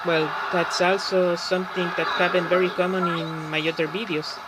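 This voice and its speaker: A man from Chile with a Chilean Spanish accent, a Spanish speaker talking casually in English over gameplay and often pausing to search for words. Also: high voice, little pitch movement. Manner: halting, searching for words with many fillers. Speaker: gaming channel host. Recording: loud background noise.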